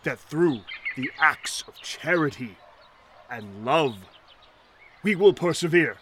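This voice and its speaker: An actor playing an earnest, pompous, kindly shantytown mayor with formal, florid speech in a 1930s audio drama. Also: male voice, a little high, animated, slow pace, background noise. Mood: rousing, solemn.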